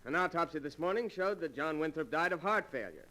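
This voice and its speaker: An actor playing a stern, plain-spoken American police lieutenant with brisk authority in a 1940s radio drama. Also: male voice, fairly high pitch, lively intonation, bright, forceful tone. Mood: brisk, firm.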